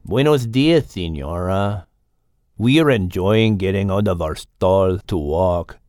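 A man voicing a restless but polite henpecked bull in a storybook, with a Spanish accent and a slow grumbling delivery. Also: low voice, darkish tone, no echo, clean studio sound, pitched below the performer's own voice. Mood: polite, chatty.

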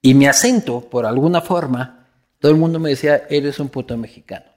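A man's voice: mid-range voice, a little fast, a little mellow. A man from Ecuador with a Quito accent, chatting casually and bluntly, swearing freely and repeating himself for emphasis. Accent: Quito Ecuadorian Spanish. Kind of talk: casual chat.

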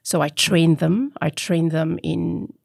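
A woman in her fifties from Singapore, speaking as a podcast guest. Her voice is low and heavily gravelly, and she talks slowly with plenty of halting restarts. The sound is close and dry. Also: steady tone, darkish tone, dry recording. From Malaysia, raised in Singapore. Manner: halting, many fillers and restarts. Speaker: guest, business founder. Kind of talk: casual interview.

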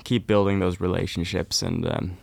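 A young man from Norway, a folk musician, chatting casually and warmly in an interview, with lots of "kind of" and "like". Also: low voice, moderate pace, vocal fry, dry recording. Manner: relaxed, friendly, uses fillers like "kind of, like".

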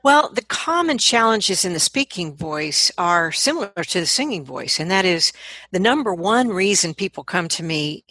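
A middle-aged woman, a vocal coach, explaining things conversationally as an interview guest, in an animated manner. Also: on the low side, normal speed, breathy edge, dry recording.

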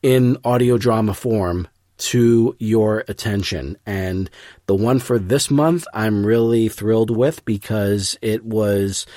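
A man introducing an audio drama as podcast host, speaking in an earnest, effusive and somewhat halting way. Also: on the low side, warm tone, no echo, studio quality. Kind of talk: scripted-loose podcast introduction.

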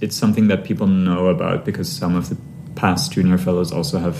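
A man from Sri Lanka in his thirties, a conductor talking through his path in a relaxed interview, thinking aloud with many fillers and restarts. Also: low-pitched, quick pace, creaky, a little hiss or hum. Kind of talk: conversational interview answers.

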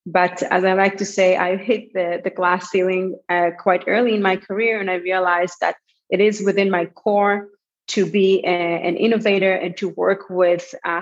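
Israeli-accented woman on dull audio. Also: mid-range voice. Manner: hesitant, with fillers and restarts. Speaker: guest entrepreneur. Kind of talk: casual interview answers.

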